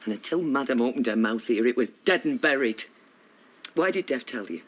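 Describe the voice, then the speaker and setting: A woman's voice: rumbling low, lively intonation, moderate pace, slightly rough, heavily acted. An actress playing a distraught middle-aged wife and mother in a TV soap, with a Northern English accent, guilty and defensive in an emphatic way. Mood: ashamed, regretful. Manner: emphatic, anguished delivery. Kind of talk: naturalistic television soap drama acting.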